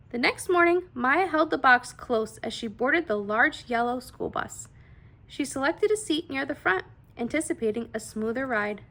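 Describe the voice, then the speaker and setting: A woman narrates a children's storybook in a high voice with a creaky edge. Her reading is expressive and deliberate, leaning into the dramatic words as a read-aloud host would.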